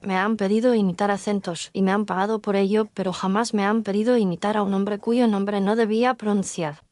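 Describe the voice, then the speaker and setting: A woman's voice: medium pitch, steady tone, brisk, a little mellow, dry recording, natural voice. A woman playing a young, humble touring actress in an audio drama, speaking earnestly and candidly. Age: young adult.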